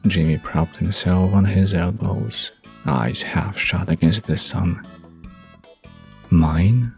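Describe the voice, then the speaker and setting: Deep, slow male bedtime narrator. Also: fairly even intonation, gravelly, darkish tone, faint music behind.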